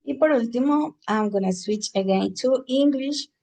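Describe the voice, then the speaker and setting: A woman's voice: on the high side, a little slow, mellow. Woman teaching an English class. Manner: explains in Spanish, switches to English for examples. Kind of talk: online class lecture.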